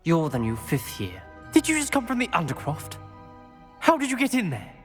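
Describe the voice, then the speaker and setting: A haughty, suspicious wizard schoolboy in a video game. The voice is fairly high and swings sharply, with a clipped, accusatory edge. It carries long reverb, with music underneath. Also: male voice, huge pitch swings, moderate pace. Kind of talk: dramatic video game dialogue.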